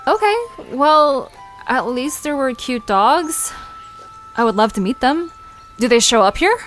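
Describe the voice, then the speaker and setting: A woman's voice: high voice, expressive, measured pace, fairly bright tone, soft background music. A woman playing a curious, eager, chatty young visitor in a conversational audio drama. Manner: inquisitive, reactive. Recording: background noise with outdoor ambience.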